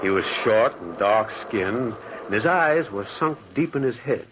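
A man's voice: fairly low pitch, lively intonation, measured pace. A brooding loner who tells his story in an old radio drama. His voice rises and falls widely as he narrates. The recording is dull and lo-fi, with a faint hiss. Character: lonely man living alone by the railroad tracks. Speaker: narrator and lead character.

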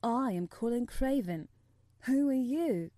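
A sickly young boy from a storybook, played by a woman, plaintive and imperious at once. The voice is soft-edged and mellow with an anxious, demanding manner, recorded dry and close. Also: on the high side, expressive, slow, no echo. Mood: half-frightened.

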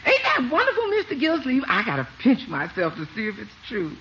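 An actress playing an exuberant, warm-hearted household cook with a folksy manner in a 1950s radio comedy. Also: female voice, a little high, huge pitch swings, a little fast. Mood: excited, proud. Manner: folksy, speaks of herself by name. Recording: muffled old radio recording, faint hiss.

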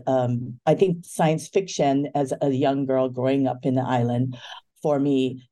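A woman in her fifties from Hawaii, a guest in an interview, speaking thoughtfully with many ums and pauses. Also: a bass voice, dark tone. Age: 50s. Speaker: book editor guest.

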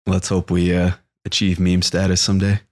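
A man's voice: low-pitched, completely flat delivery, moderate pace, a little mellow. A young man co-hosting a podcast, explaining crypto in a casual chat, often checking in with "right?".

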